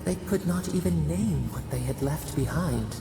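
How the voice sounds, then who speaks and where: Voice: medium pitch, lively intonation, moderate pace, creaky. An actor playing an ancient, solemn nature spirit in a video game, speaking cryptic, poetic, portentous lines with an echo. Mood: portentous, reverent. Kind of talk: video game dramatic narration. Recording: echoing voice under prominent music. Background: loud music.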